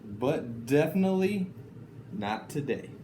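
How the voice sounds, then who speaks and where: A man reads children's picture books at a slow, deliberate pace. His pitch moves up and down in a lively storytelling way, and the room is noticeably echoey. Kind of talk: expressive children's story reading. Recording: echoey room, faint background noise.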